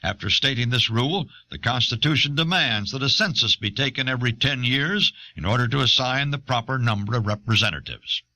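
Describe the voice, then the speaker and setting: A man narrating a scripted documentary in a measured, formal, explanatory manner, on an old audio cassette. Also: medium pitch, pushed and bright.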